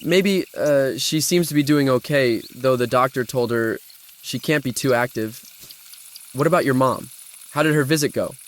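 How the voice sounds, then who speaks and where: A man's voice: medium pitch, moderate pace, close to an everyday voice. A man acting an easygoing, slightly stressed college-age artist in an audio drama, talking casually with some hesitation. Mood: subdued, friendly. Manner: casual, hesitant slangy talk.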